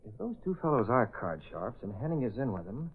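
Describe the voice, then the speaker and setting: An actor playing a confident, commanding newspaper boss and secret crimefighter in a 1930s radio drama, giving crisp orders and explanations. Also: male voice, mid-range voice, very animated, a little fast. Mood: calculating, commanding. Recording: old radio recording, narrow band.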